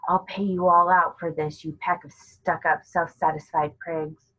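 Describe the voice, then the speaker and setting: A woman's voice: lowish voice, little pitch movement, a little slow, a bit bright, highs cut off. A woman voicing a sullen, spiteful younger schoolboy in a storybook reading, grumbling and sneering.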